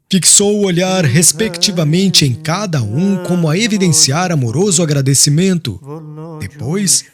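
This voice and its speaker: A man reads dramatic audiobook narration in a solemn, literary style. His delivery is slow and mournful, with big swings in pitch. Music is clearly audible beneath his voice.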